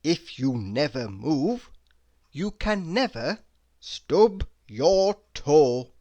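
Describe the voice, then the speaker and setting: Very slow, expressive reader with a mid voice. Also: medium pitch. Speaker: reader of quotes. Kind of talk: reading humorous motivational quotes aloud.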